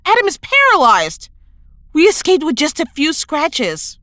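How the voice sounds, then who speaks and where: A woman's voice: high voice, huge pitch swings, a little slow, bright, forceful tone, no room sound, clean studio sound, full character voice. A woman acting an earnest, idealistic young superhero in an audio drama, reflective and sincere. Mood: earnest, pleading.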